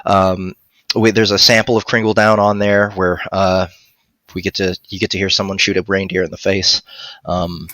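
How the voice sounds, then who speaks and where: A man's voice: deep voice, level tone, normal speed, fairly bright tone, no room sound. A man in his thirties chatting casually on a live stream as a guest voice actor, talking into a headset mic at home. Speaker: guest, audiobook narrator and voice actor. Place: home room, on a video call.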